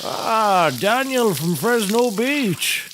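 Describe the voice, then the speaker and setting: A man's voice: sits high, animated, drawn-out words, background noise, full character voice. A man playing a pompous, folksy, bumbling defence lawyer with theatrical, rambling courtroom patter in a scripted comedy.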